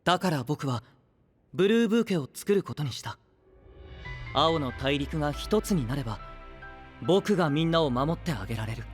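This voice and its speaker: A man playing a soft, high-voiced idealistic young knight. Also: animated, soft background music, close to an everyday voice. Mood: earnest, resolved. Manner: soft-spoken, earnest, measured. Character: gentle, idealistic young male knight leading an alliance. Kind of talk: anime-style audio drama acting.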